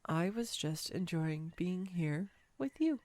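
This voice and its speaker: An unhurried, fairly low voice for a woman acting as an awkward young woman on a date. She is earnest, apologetic and sweetly self-deprecating, speaking intimately to one listener in a romantic audio roleplay. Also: animated, darkish tone, no room sound. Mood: tender.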